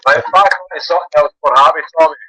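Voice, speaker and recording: A man's voice: on the high side, fairly even intonation, rapid-fire, sharp tone, breathy edge, no room sound, low-quality recording. A man from Glasgow with a Glaswegian accent, calling in to a phone-in and chatting casually in loose run-on phrases. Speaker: caller.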